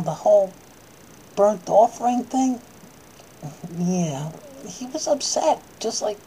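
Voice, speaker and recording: A woman's voice: fairly low pitch, mellow, a little hiss or hum, low-quality recording. A woman acting out a bedtime story for children, moving between dramatic reading and coaxing, soothing asides.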